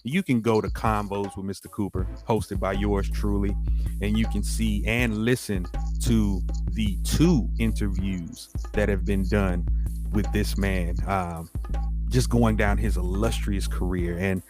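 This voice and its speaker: A man hosting an interview, speaking in a deliberate, wordy way with formal flourishes. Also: lowish voice, steady tone. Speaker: podcast host, interviewer. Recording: music clearly heard behind voice. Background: music.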